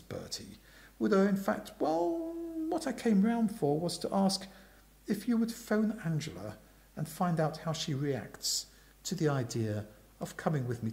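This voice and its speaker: A man's voice: a little high, gravelly, a little mellow, heavily acted. A man voicing a bashful, hesitant young upper-class chum who stammers and talks round the point. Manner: stammering, roundabout, hemming and hawing.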